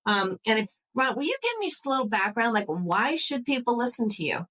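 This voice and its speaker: A woman's voice: on the high side, very animated, a little fast, sharp tone. A young adult woman hosting a podcast, chatting enthusiastically and often saying "like". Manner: enthusiastic, chatty, uses "like" a lot. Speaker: podcast host. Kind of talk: casual interview chat.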